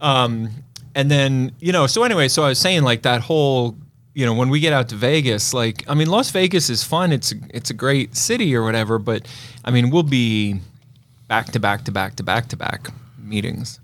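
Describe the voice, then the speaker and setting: A man's voice: mid-range voice, normal speed, fairly bright tone. A man co-hosting a podcast in casual chat, with a rambling, conversational way of talking. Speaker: podcast co-host.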